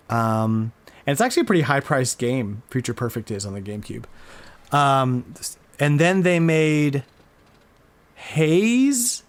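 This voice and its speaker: A man hosting a podcast in an informal, enthusiastic, slangy way, chatting casually about retro games. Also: medium pitch. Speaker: podcast host.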